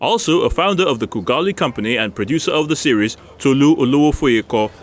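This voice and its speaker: A man's voice: medium pitch, animated, fast. A man with a Nigerian accent reading a scripted voice-over as a news reporter.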